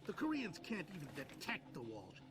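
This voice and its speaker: An actor playing a hawkish, blunt military adviser with a forceful way of talking. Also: male voice, a little high, wildly swooping pitch, moderate pace. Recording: game cutscene with music underneath.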